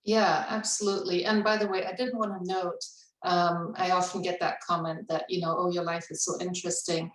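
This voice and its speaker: A woman's voice: on the low side, level tone, fairly quick. A woman who grew up in Indonesia speaks as a cultural humility trainer in a webinar, with a reflective manner and easy laughs. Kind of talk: webinar conversation. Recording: roomy, distant mic.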